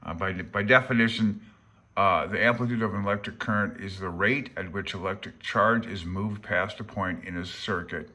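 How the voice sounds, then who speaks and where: A man's voice: lowish voice, normal speed, pushed and bright. A man giving a casual, rambling commentary on a book, plain-spoken and self-deprecating, speaking as a hobbyist rather than an expert. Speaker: amateur radio hobbyist and vlogger. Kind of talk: casual rambling commentary while paging through a handbook.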